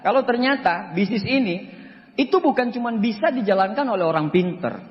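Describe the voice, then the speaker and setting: A man in his forties with a high voice speaks to a seminar crowd, boastful and joking, pushing his key points hard. His voice rings with the long reverb of a large hall. The recording sounds dull and lo-fi. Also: animated, brisk. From Central Sulawesi, Indonesia. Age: 40s. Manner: joking, boastful, emphatic, with rising pushes. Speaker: motivational speaker. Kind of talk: seminar talk to an audience.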